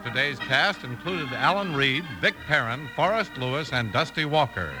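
Old time american radio announcer guy, highish lively voice, polished and emphatic, music behind him. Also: normal speed, rough recording. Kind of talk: 1950s scripted radio announcing and sponsor reads.

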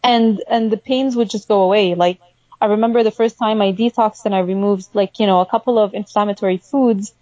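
Mellow-voiced woman. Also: medium pitch, steady tone, fairly quick, no echo, highs cut off. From Egypt. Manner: friendly, chatty, laughs often. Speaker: podcast guest, health coach. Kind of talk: casual conversational interview.

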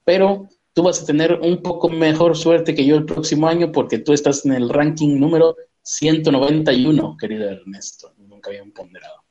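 A man's voice: on the high side, a little fast, few pauses, dark tone, dull audio. A man, chatting casually, asking questions and joking lightly. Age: 30s. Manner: conversational, asks questions, jokes lightly. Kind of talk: casual chat.

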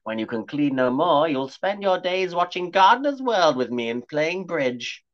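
A mean old crone cackles and growls her threats in a high, lurching voice, as a man puts her on. The sound is dull and lo-fi. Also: big pitch swings, moderate pace, a bit bright. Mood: menacing. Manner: cackling, growling, then sobbing. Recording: dull lo-fi audio.

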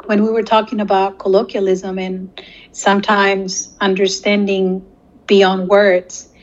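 A woman's voice: mid-range voice, flat delivery, a little slow, muffled recording. A woman from Latin America, a native Spanish speaker, talking conversationally and telling anecdotes as an interview guest. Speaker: interview guest, corporate security professional.

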